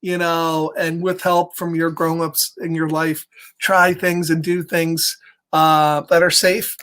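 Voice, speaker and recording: A man's voice: a little high, level tone, slow pace, a bit bright, smooth. A middle-aged man telling a children's story, with casual chatty asides and a playful, encouraging, simple way of talking.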